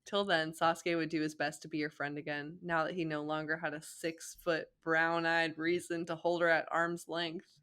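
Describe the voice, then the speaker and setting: Female co-host, low voice, informal story reading and chat, playful and giggly. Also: little pitch movement, normal speed, few pauses, fairly bright tone, smooth. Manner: giggly, joking asides. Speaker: co-host of a fan fiction reading show. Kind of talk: casual chat, reading fan fiction aloud.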